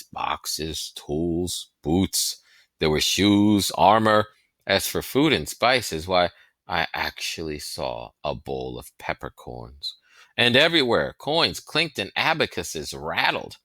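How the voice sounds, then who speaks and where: Male audiobook reader, low pitch, very lively intonation, dramatic deliberate phrasing, bright forceful tone, expressive storytelling. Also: dry recording. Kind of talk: expressive scripted narration of a historical novel.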